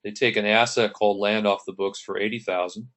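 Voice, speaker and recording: A man with a lowish voice gives an accounting lecture over slides. He works through each entry methodically, one step at a time. Now and then he stops to clear his throat. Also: steady tone, a little fast, a bit bright. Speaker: accounting instructor.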